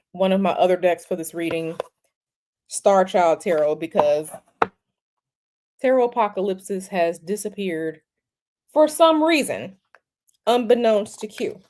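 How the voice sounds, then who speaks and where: Slow, halting woman tarot reader. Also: mid-range voice, expressive, darkish tone. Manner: slow, repetitive, halting with fillers. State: sniffly from a cold. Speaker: tarot reader hosting a livestream. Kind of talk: casual livestream chat.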